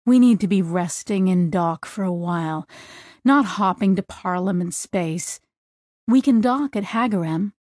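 A woman's voice: on the low side, measured pace, darkish tone, no room sound, clean studio sound, close to an everyday voice. A woman voicing a tired, self-doubting male starship captain in an audiobook. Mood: weary.

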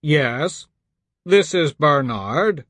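A man's voice: lowish voice, lively intonation, a little slow, breathy edge, no room sound, clean studio sound. A man voicing a large, nervous security man in an audiobook, hesitant and unsure of himself. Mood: nervous, helpless. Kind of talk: audiobook character dialogue.

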